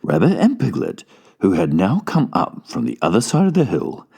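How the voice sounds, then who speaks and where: A man's voice: lowish voice, huge pitch swings, normal speed, creaky, mellow. A man reading a children's story aloud as scripted audiobook narration.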